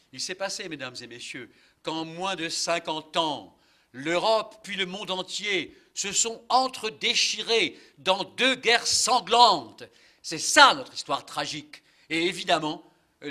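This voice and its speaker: An older man giving a conference lecture, animated, ironic and polemical, with many asides and fillers. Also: on the high side. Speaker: conference speaker, linguist. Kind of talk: lively lecture to an audience. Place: conference hall.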